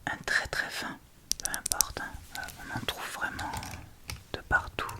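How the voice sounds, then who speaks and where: Woman, soft breathy whisper, slow pace, hesitant informal ASMR tutorial delivery. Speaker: ASMR video creator.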